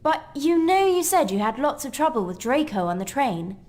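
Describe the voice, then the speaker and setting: A woman's voice: high-pitched, very animated, normal speed, a little mellow. A woman playing a bossy, earnest schoolgirl witch with a British accent in a video game. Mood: brisk, reproving.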